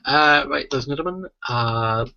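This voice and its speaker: A man's voice: medium pitch, moderate pace, vocal fry, pushed and bright, muffled recording. A British man co-hosting a casual podcast, chatty and rambling, swearing freely. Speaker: podcast co-host.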